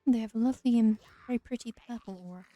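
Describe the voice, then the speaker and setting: A woman's voice: fairly high pitch, fast talker, dark tone. A woman giving casual let's play commentary as a gamer, with dry, wry asides.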